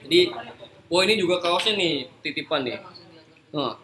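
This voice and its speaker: A man's voice: on the high side, lively intonation, moderate pace, pushed and bright. A man hosting a casual live chat in an informal, joking way. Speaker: host. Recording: noisy room, live stream.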